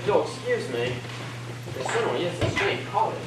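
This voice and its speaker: A man on stage playing a courteous, bemused young gentleman visitor with a formal, reserved way of speaking. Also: high-pitched, sing-song, brisk. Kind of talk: amateur stage acting. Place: school theatre stage. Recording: echoey, far off, noisy stage.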